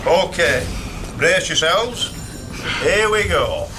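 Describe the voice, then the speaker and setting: An actor playing a matter-of-fact pit official giving tour instructions in a radio drama. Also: male voice, on the high side, expressive, slow pace, background noise. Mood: instructing. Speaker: tour guide. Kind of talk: naturalistic radio drama acting.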